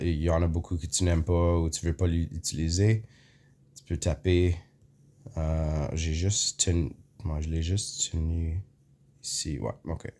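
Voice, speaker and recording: A man whose first language is English and who has an English Canadian accent. He walks viewers through an app in a casual tutorial, hesitant, full of fillers, clearing his throat often. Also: deep voice. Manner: hesitant, many fillers, mixes in English words. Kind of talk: casual screen walkthrough.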